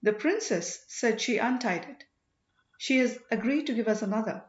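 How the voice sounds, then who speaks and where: Earnest banished young king voiced high by a woman. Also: normal speed, close to an everyday voice. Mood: astonished, courteous. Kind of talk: audiobook character dialogue. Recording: roomy, slightly dull home recording.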